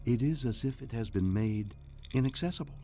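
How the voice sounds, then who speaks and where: A man playing a puzzled, formal alien mind housed in an obelisk, speaking in a stately, deliberate game-acting style. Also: mid-range voice, dark tone, faint music behind, lightly acted. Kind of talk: scripted science fiction game acting.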